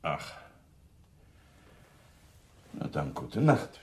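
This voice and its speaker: A man's voice: extremely low pitch, sing-song, drawn-out words, exaggerated voice. A man playing an affable, inquisitive senior police officer in a German radio crime drama, speaking with a questioning, hesitant manner.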